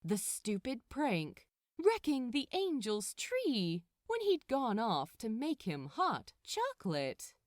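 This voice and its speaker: Woman narrator, slow and very expressive. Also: fairly high pitch, dry recording, studio quality. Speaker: fan fiction narrator. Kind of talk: expressive scripted story narration.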